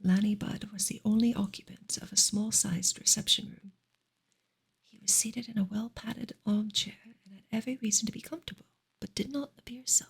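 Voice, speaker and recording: A woman reading a book aloud for ASMR in a soft, breathy, unhurried whisper. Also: mid-range voice, fairly even intonation, low-quality recording. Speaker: ASMR reader and streamer.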